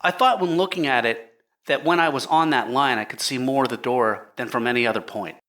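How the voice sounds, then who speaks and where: A man's voice: medium pitch, brisk, a bit bright, lightly acted. A man playing a careful, literal-minded civil engineer testifying deferentially in a dramatic reading of a trial. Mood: careful, earnest.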